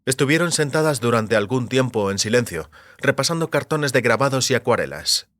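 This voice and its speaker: A man's voice: mid-range voice, lively intonation, fairly quick, fairly bright tone, no room sound. A man reading scripted literary narration as an audiobook narrator.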